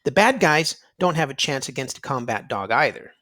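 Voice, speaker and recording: A man's voice: mid-range voice, big pitch swings, moderate pace, sharp tone. A man reading a children's nonfiction storybook aloud, explaining emphatically to young listeners. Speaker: children's read-aloud narrator.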